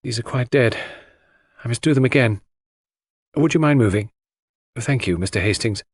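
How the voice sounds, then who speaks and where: A man's voice: mid-range voice, lively intonation, normal speed, husky, no room sound, clean studio sound. A man voicing a proud, cold and bitter Englishwoman with a cool, mocking, clipped manner in an audiobook. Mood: icy, dismissive.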